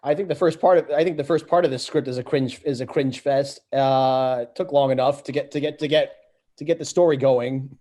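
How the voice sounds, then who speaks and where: A man chatting casually in a club discussion, halting and often repeating words as he stammers toward his point. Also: medium pitch, steady tone, mellow. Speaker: club participant. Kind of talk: casual chat.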